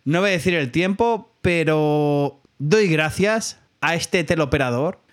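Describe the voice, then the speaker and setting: A man's voice: a little high, measured pace. A man with a Spanish accent from Spain, a podcast host chatting casually to his listeners. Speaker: podcast host and prank caller. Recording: music playing behind the voice. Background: loud music.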